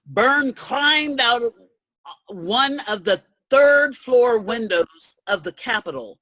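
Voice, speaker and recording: A woman reading scripted narration in a reader's theater, in a measured and articulate way. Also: medium pitch, a bit bright, no room sound.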